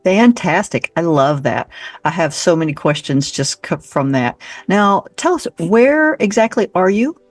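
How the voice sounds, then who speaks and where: A woman's voice: lowish voice, very animated, unhurried, no room sound, faint music behind. A woman hosting a podcast interview, upbeat and encouraging, chatting casually with plenty of fillers. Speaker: podcast host.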